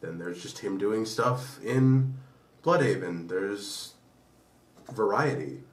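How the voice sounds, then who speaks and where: A man with a lowish voice chats casually as a podcast co-host. He is informal and blunt, often halting mid-thought. The recording is echoey, with the voice sitting back from the microphone. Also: lively intonation. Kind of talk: casual chat about pop culture. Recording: roomy echo, distant from mic.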